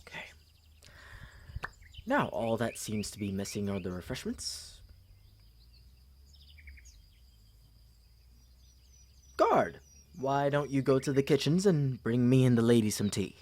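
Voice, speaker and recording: A man playing a smug, condescending young prince with a pompous, smooth manner in an ASMR fantasy audio roleplay. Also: mid-range voice, moderate pace, slight background noise, natural voice. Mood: smug, commanding. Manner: smooth, pompous, theatrically expressive.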